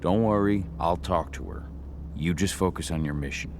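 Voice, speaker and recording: A man playing a gruff, brooding, protective werewolf fighter in a video game, blunt in the way he talks. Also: low-pitched, moderate pace, noisy background, lightly acted. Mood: reassuring. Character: brooding, combative werewolf husband, wary and protective. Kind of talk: dramatic video game acting.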